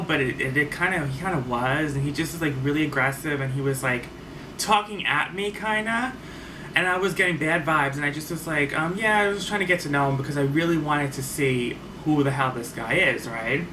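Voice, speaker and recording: A man's voice: on the high side, moderate pace. Chatty man rambling on a storytime vlog. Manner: rambling, many fillers and restarts. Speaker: vlogger telling a story. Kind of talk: casual storytime monologue. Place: at home. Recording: faint far-off mic with noticeable background noise.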